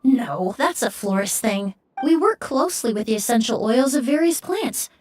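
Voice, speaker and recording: A woman's voice: on the high side, animated, darkish tone. A woman playing a polite, composed, analytical young perfumer in a video game, speaking formally. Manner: formal, measured, courteous.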